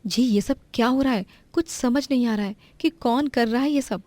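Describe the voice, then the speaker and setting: A woman's voice: fairly high pitch, moderate pace, darkish tone, dry recording, close to an everyday voice. A woman voicing a resourceful young village wife in a cartoon, eager and upbeat. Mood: worried.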